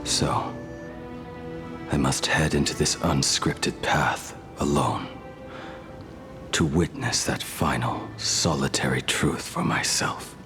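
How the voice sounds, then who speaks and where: A man playing a stoic, world-weary ancient swordsman in a video game, grave and terse, his phrases often sinking into a breathy hush. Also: a bass voice, takes it slowly, over music, heavily acted. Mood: solemn, resigned. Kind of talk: dramatic video game voice acting.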